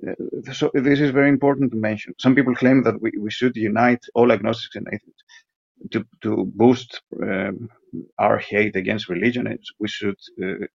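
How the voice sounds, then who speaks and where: A man with a creaky, raspy, lowish voice delivers a pedantic monologue as a voicemail caller. He stumbles and repeats himself as he lectures. The sound is dull and lo-fi. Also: fairly even intonation, no echo. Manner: halting, repetitive, pedantic. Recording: dry, muffled lo-fi voicemail.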